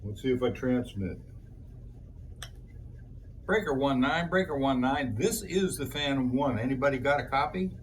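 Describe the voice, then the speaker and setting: A man's voice: medium pitch, expressive, moderate pace, long pauses, a little hiss or hum, low-quality recording. A man hosting a hands-on repair demonstration, talking casually to viewers in a folksy way as he thinks aloud. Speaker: host of a DIY electronics repair video.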